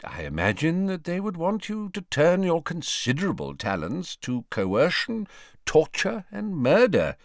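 Man as a serene, unhurried very old wizard. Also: a little high, wildly swooping pitch, no room sound, studio quality, pitched above the performer's own voice, heavily acted. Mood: pointed. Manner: serene, measured, gently ironic. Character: serene, courteous, very old male wizard headmaster. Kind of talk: audiobook character voice.

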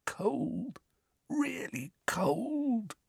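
A man voicing a spoiled, shaken teenage boy who mumbles and stammers in a hoarse croak. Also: very high-pitched, very animated, dry recording, clean studio sound, voice pushed up, exaggerated voice. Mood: shaken, dazed. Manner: halting, stammering, trembling. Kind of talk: audiobook character voice.

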